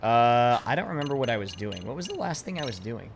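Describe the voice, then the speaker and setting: A hoarse man in his thirties, casually commenting on a video game as he plays it, reading the subtitles aloud and swearing offhand. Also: medium pitch, very animated, fast, slight background noise. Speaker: streamer. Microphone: headset or desk mic, webcam.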